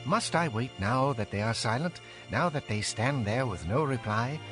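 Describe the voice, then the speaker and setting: A man's voice: mid-range voice, expressive, moderate pace, close to an everyday voice. An actor playing an angry, impassioned young man lecturing his elders emphatically in a dramatized audio Bible. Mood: impatient, bursting. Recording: music behind.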